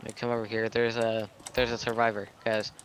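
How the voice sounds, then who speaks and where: A man's voice: fairly low pitch, flat delivery, brisk, slight background noise. A man chatting casually in character as a player in an online roleplay game.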